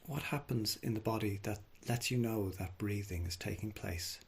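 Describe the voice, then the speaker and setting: A man leading a guided body scan meditation, speaking gently and without hurry, leaving long pauses. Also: lowish voice, creaky, slight background noise. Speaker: mindfulness meditation teacher.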